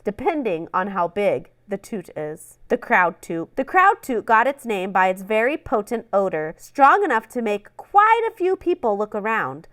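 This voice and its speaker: A woman reading a funny children's picture book aloud in a playful, animated way, with little acted asides. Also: medium pitch, dry recording, studio quality. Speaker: storybook reader.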